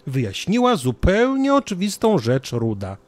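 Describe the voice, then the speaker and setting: A man's voice: high voice, huge pitch swings, moderate pace. A man narrating a scripted children's story.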